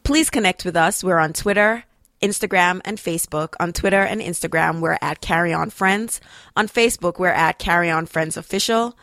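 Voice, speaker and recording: A woman's voice: fairly low pitch, normal speed, a bit bright, no echo, studio quality. A woman hosting a podcast and interviewing a guest, bubbly and enthusiastic, with plenty of casual fillers. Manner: bubbly, enthusiastic, many "you know"s. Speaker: podcast host.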